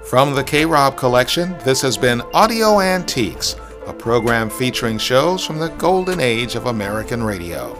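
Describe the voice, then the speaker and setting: A man's voice: medium pitch, sing-song, normal speed, hardly any pauses, over music. A man hosting a podcast, reading an upbeat advertisement and signing off. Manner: upbeat, emphatic sales delivery. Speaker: podcast host. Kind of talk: read advertisement and sign-off.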